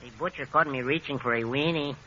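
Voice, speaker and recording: A man's voice: mid-range voice, fast, highs cut off, natural voice. An actor playing a cheerful, muddled Mexican handyman in 1940s radio comedy, with a Mexican accent and long-winded explanations. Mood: sheepish. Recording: scratchy, band-limited 1940s radio recording.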